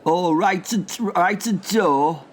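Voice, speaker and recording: A man's voice: high-pitched, slow pace. A man playing a grumpy, rambling old war veteran who curses constantly. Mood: cantankerous. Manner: rambling, cursing, confused. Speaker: comic character.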